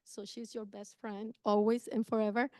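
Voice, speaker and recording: Clear-voiced middle-aged woman from Costa Rica. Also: medium pitch, little pitch movement, moderate pace, dark tone, dry recording, clean studio sound. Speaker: guest speaker, real estate agent. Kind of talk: informal personal introduction to an audience.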